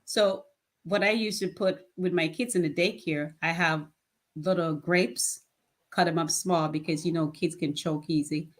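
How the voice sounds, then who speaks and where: A woman in her fifties speaks with a lower pitch than most, plain-spoken and earnest. She answers questions in a relaxed interview and laughs easily. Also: unhurried. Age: 50s. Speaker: guest, nonprofit founder. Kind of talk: casual interview conversation.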